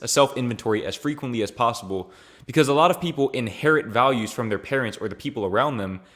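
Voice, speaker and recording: A young man answering his viewers' questions in a loose, easygoing way. He speaks at an ordinary, mid-range pitch. His slang and repeated phrases keep it sounding like a chat with a friend. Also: fairly bright tone. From Louisiana, raised in Texas. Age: young adult. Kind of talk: casual Q&A vlog.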